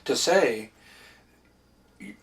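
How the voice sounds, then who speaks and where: A middle-aged man in casual, reflective conversation about faith, speaking in a halting, thoughtful way. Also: mid-range voice, expressive. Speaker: podcast co-host. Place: small room with foam panels. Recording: distant mic in a room.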